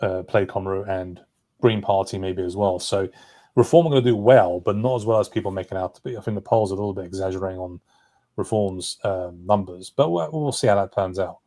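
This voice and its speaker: Dude talking politics super fast, low mellow voice, keeps correcting himself. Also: male voice. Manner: talkative, runs on with asides and self-corrections. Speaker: guest commentator. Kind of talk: political discussion.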